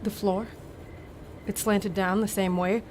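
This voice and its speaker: An actress playing a haunted, anxious young student in a radio play, with a halting, uneasy delivery. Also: female voice, medium pitch, level tone, darkish tone, a little hiss or hum, natural voice. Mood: anxious, weary. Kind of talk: dramatic radio play acting.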